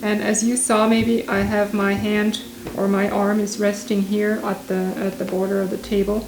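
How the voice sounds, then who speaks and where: Woman, medium talking calmly about her work, steady explaining tone. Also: mid-range voice, crowd noise, poor audio. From Switzerland. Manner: calm, explanatory, measured. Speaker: automatic writing medium. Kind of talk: documentary interview.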